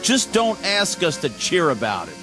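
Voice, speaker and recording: Casting: worn-out private, higher voice with a lively swing, blunt and defiant, loud score. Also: male voice, normal speed, music in the background. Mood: bitter. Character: bitter, blunt young male army private.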